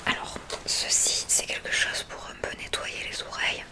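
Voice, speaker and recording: Whispering French-language ASMR woman. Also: normal speed. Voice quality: soft breathy whisper. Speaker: ASMR creator playing a hearing examiner.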